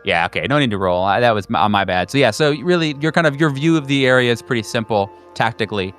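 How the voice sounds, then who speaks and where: A man narrating an improvised tabletop horror game as game master, halting and conversational in his descriptions. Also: medium pitch, animated, fairly bright tone, faint music behind.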